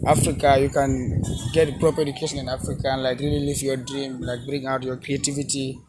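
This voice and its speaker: A man's voice: medium pitch, level tone, heavy background noise. A man hosting an interview, addressing viewers and asking questions with hesitant restarts, backing his guest with many "mm-hmm"s. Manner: encouraging, frequent "mm-hmm" and "wow", halting questions. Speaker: interviewer, host.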